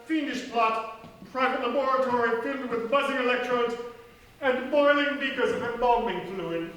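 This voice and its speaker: An actor playing an ominous, melodramatic narrator in a live old-time radio horror spoof. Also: male voice, very high voice, moderate pace, fairly bright tone. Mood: lurid. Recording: very echoey theatre, distant mic.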